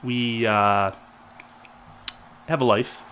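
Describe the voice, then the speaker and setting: A man's voice: medium pitch, fairly even intonation, drawn-out words, fairly bright tone, slight background noise. A man co-hosting a casual wrestling review show, talking loosely while getting over a cold that leaves him stuffy. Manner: rambling, loose and chatty. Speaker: co-host. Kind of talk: casual chat.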